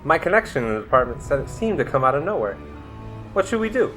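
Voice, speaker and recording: Man as a lively young masked sidekick. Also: on the high side, fast talker, faint music behind. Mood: puzzled. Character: wry young male masked sidekick, eager detective. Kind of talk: radio drama acting.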